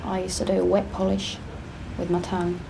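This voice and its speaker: Very flat low female voice, subdued hesitant confessor, deadpan, spoof documentary. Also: normal speed, creaky, a little hiss or hum, poor audio. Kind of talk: documentary interview parody.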